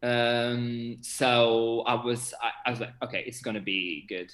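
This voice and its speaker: A man's voice: mid-range voice, little pitch movement, unhurried, fairly bright tone. A young man chats as a theatre director being interviewed. His manner is effusive and enthusiastic, and the sound is that of a video call from an echoey room. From Portugal. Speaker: guest, theatre director and actor.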